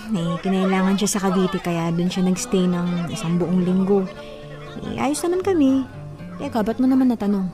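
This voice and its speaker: A woman's voice: medium pitch, animated, moderate pace, music in the background, lightly acted. An actress playing a defensive married woman on a tight budget in a radio drama, sighing and brushing off worries. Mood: guarded.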